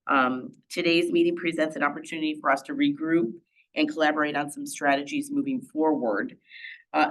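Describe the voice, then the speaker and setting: An older woman with a cold, clearing her throat as she formally opens a public task force meeting as its chair. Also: deep voice, low-quality recording. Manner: steady, formal, with many ums. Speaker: city council member chairing a meeting. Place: meeting room.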